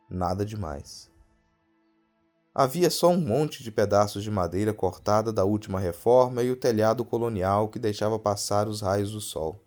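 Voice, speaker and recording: Low-voiced Brazilian man telling horror over music. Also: darkish tone. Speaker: storyteller narrating a ghost story. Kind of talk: dramatic scripted horror narration.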